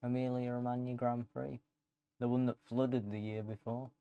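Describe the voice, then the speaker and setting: A man giving casual gameplay commentary in short, low-key remarks. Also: level tone, normal speed, gravelly, smooth, no echo, narrow, tinny audio. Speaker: gaming video creator.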